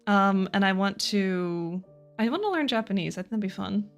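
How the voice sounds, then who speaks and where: A woman's voice: mid-range voice, animated, moderate pace, dull audio, soft background music. Giggly chatty woman streamer. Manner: chatty, giggly, self-deprecating. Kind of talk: casual chat with viewers while drawing.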